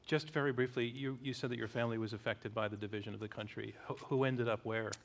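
A man's voice: medium pitch, steady tone, fast talker, vocal fry. An older man acts as the moderator of an onstage interview. He asks short, attentive questions that prompt his guest to go on. He is recorded on a theater stage in front of a live audience.

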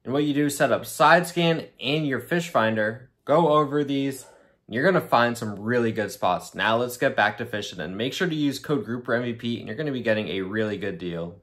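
A man's voice: mid-range voice, noisy background. A young man hosting a fishing vlog, chatting upbeat about his gear and spots from an open boat. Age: young adult. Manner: upbeat and chatty, talking through tackle and gear. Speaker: fishing channel host. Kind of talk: casual fishing vlog narration. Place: open boat on the sea and a room indoors.

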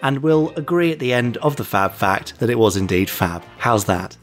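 A man with an average-pitched voice co-hosts a podcast. He chats casually and teases with playful mock formality. Faint music sits underneath. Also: animated, moderate pace. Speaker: podcast co-host. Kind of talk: casual scripted-loose banter.

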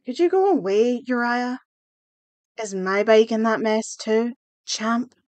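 A woman's voice: on the high side, slow pace, a little mellow, no room sound. Woman acting a poised teen girl, dry recording. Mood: confident. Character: poised, confident, friendly teenage schoolgirl. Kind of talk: comic fandub acting.

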